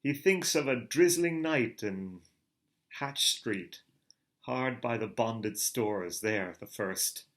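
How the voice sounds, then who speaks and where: A middle-aged man giving a theatrical reading of a novel, moving from hushed murmurs to booming calls. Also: expressive, slow pace. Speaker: reader of a novel. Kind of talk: dramatic literary reading.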